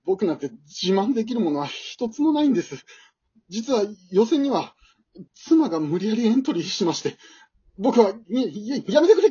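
Woman acting a timid, stammering, husky married man. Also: on the high side, a little mellow, muffled recording. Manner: stammering, apologetic. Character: timid, flustered married man, a self-deprecating singing contestant. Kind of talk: amateur short radio drama acting.

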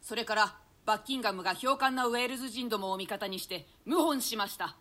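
An urgent knight, played by a woman, reporting to the king in a stage drama. The voice is high and forceful, and the words come very fast. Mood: urgent, alarmed. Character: urgent loyal knight bringing bad news to his king. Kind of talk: classical stage play reading.

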